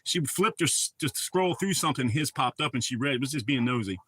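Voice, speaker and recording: A man's voice: medium pitch, lively intonation, fairly quick, a bit bright, husky, dry recording. A middle-aged man hosting a livestream, telling a heated, rambling story full of cursing. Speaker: livestream host. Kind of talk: casual ranting chat.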